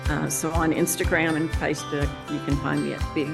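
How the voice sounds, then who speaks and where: Low, gravelly West Texas woman painter. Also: little pitch movement, a little fast, loud music behind the voice. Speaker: landscape painter. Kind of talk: personal interview monologue. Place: art gallery.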